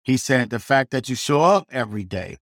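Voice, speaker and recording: A man's voice: mid-range voice, animated, brisk, fairly bright tone, dry recording, studio quality. A middle-aged man, a chef, telling his story in a loose, rambling way, full of restarts and "you know" and blunt language. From South Side of Chicago. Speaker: chef guest. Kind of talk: casual storytelling interview.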